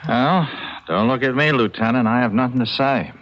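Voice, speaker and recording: A man's voice: mid-range voice, normal speed, lightly acted. A man playing a subdued, rueful young police investigator in a 1970s radio drama. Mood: reticent. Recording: dull, faintly noisy vintage radio.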